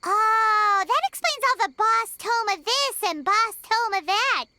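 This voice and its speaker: A woman's voice: extremely high pitch, lively intonation, measured pace, sharp tone, heavily acted. A woman voicing a tiny, chirpy, self-important fairy companion in a video game. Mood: chirpy, indignant. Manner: talks of herself in third person.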